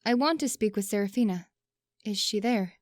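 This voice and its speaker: A woman's voice: medium pitch, moderate pace, no echo, studio quality, natural voice. A woman voicing a polite, earnest young female student in a comic dub. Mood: reserved.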